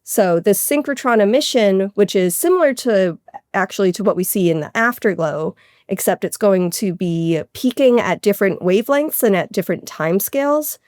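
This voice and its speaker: A woman's voice: medium pitch, lively intonation, moderate pace, a little mellow, dry recording, studio quality. A woman astronomer being interviewed, explaining technical science in a casual, conversational way peppered with "like".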